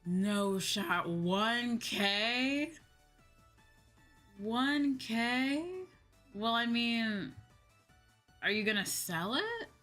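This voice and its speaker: Bright-voiced young woman streamer. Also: fairly high pitch, expressive, extremely slow, pauses often. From United States. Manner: slangy, chatty, exclamatory. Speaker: VTuber livestreamer. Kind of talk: casual livestream chat. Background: background music.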